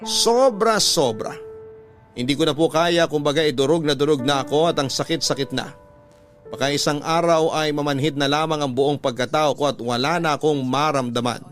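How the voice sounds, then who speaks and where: A man with a fairly high voice works as a radio storyteller. He delivers scripted narration in a dramatic style, with music clearly playing behind him. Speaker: radio host narrating listeners' letters. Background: background music.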